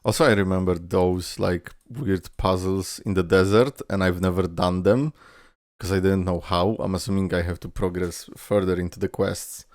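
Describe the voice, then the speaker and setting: A man's voice: deep voice, steady tone, normal speed, a little mellow, no room sound, studio quality. A man giving casual, playful reaction commentary with swearing, his voice tired and strained from a lot of talking. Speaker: reaction video host.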